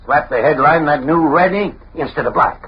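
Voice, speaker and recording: An actor playing a bossy, sarcastic newspaper editor with slangy, emphatic delivery in old-time radio style. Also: male voice, on the high side, normal speed, slightly rough. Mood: gleeful. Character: gruff, fast-talking male newspaper city editor, excitable, sarcastic. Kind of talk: 1930s-40s radio acting. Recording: dull vintage radio recording, faint hiss.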